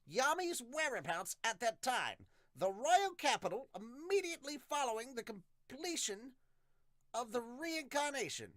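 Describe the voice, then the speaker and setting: A dramatic manga narrator acted by a man, pitched well above a normal male voice. The delivery is loud and declamatory, in a dry recording. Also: big pitch swings, unhurried, no echo, heavily acted. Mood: grand, dramatic.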